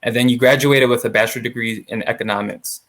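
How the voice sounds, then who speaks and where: A man's voice: mid-range voice, fairly even intonation, fast talker, fairly bright tone. A young adult man interviewing a guest, reading a scripted introduction and then asking friendly questions with casual fillers. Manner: friendly, uses fillers like "awesome" and "like". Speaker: interviewer, associate producer.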